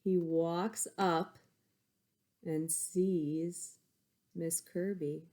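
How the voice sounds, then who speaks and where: A woman teacher reading a picture book aloud to young pupils, expressive, with chatty asides. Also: lowish voice, extremely slow. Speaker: elementary school teacher.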